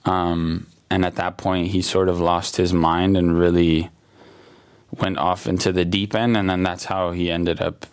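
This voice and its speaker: A young man in a very low, gravelly voice. He talks casually in an interview, stopping and restarting often and leaning on fillers. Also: flat delivery, a little slow. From Germany, raised in the USA. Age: late 20s. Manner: hesitant, many fillers and restarts. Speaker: artist, guest. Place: exhibition hall.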